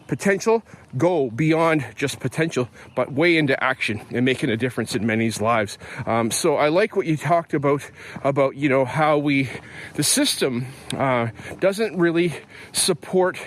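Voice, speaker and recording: A man's voice: medium pitch, a little hiss or hum. A middle-aged Canadian man hosting a podcast, talking casually with many fillers and restarts while out on an early morning walk. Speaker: podcast host. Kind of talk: casual spoken reflection.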